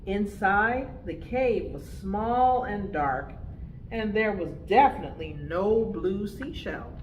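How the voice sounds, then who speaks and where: A woman reading a picture book aloud to preschoolers, with expressive, deliberate phrasing. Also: medium pitch, reverberant, slight background noise, rough recording. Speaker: storytime reader for preschoolers.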